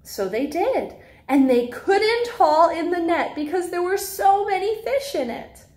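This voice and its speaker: A young woman with an American accent talks to young children as their teacher. Her voice sits high and swoops expressively from word to word. She phrases things simply, in the animated manner of a read-aloud. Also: measured pace. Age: young adult. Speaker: preschool teacher. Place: small classroom, indoors.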